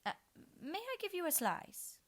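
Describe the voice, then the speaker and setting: A woman's voice: very high-pitched, big pitch swings, normal speed, a bit bright, pitched above the performer's own voice, full character voice. A woman reading aloud, voicing a polite young girl who stammers and hesitates when nervous. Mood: timid.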